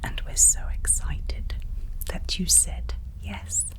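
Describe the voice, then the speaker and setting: A woman voicing a friendly, eager, slightly muddled alien in an ASMR exam roleplay, whispering gently and attentively with some hesitation. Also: slow pace, natural voice, poor audio. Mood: warm, excited.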